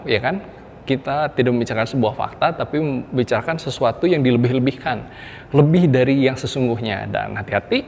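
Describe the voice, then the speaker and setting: An Indonesian man with an Indonesian accent coaching public speaking in a lecture-style video. His voice sits at an ordinary middle pitch, and he punches key words with energy as he talks straight to his viewers. The recording has a long, lingering reverb with faint noise underneath. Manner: animated, stresses key words, addresses viewers as "rekan-rekan".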